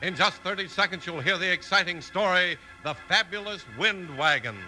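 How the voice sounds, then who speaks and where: A man's voice: sits high, moderate pace, sharp tone, low-quality recording. An American man announcing in emphatic, polished 1950s radio style, reading scripted promotions and story narration.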